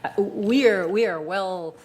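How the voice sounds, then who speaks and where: A woman answering off the cuff as a candidate at a public meeting, speaking haltingly and searching for words. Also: medium pitch, darkish tone. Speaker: board candidate at a community forum. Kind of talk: impromptu spoken answer at a meeting.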